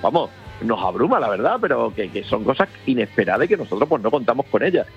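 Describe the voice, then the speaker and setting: Man, festival organizer, eager and rambling, muffled radio sound with soft music under it. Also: mid-range voice, very animated, quick pace. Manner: enthusiastic, rambling with many restarts. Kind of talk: casual radio interview.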